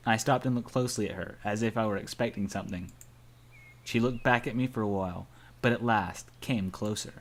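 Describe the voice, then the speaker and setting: A man's voice: on the low side, brisk. A man playfully narrating a visual novel aloud for a gaming video.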